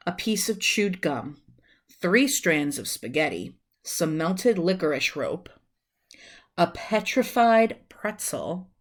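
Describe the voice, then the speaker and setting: A woman in her thirties reading a children's book aloud as a storyteller, deliberate, with drawn-out phrases and small asides to the listener. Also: fairly low pitch. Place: small room at home, indoors.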